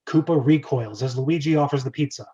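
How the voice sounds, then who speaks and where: A man's voice: medium pitch, fairly quick, warm tone, breathy edge, muffled recording. A man hosting a casual amateur screenplay read, narrating stage directions and breaking off into laughing asides. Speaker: host and narrator of a script read-through.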